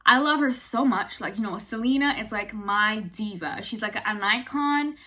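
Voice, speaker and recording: A young woman talks straight to her viewers in a bright, clear voice. She is chatty and animated and fills her sentences with "like" and "you know". Her pitch sits in the middle range for a woman. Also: moderate pace. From Italy. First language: Italian. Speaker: vlogger. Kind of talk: casual talk to camera.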